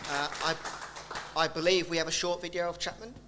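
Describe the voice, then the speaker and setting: A man's voice: on the high side, fairly even intonation, fairly quick, a crowd in the background. A man presenting at a live awards ceremony, heaping effusive praise on the winners. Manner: effusive praise, occasional restarts. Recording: reverberant hall, faint ambience.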